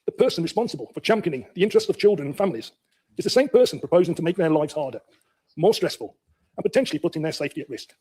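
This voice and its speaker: Male councillor. Emphatic prepared political speech, dark husky tone, brisk pace. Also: on the high side, lively intonation. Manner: emphatic, critical. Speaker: local councillor.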